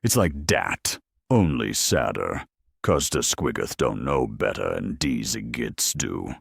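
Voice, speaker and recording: Man voicing a gruff, gravelly, grumbling ork mechanic. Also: extremely low pitch, big pitch swings, takes it slowly, dry recording, studio quality, heavily acted. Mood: indignant griping. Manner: rough slangy dropped-consonant speech. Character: gloomy, contemptuous ork big mek griping darkly.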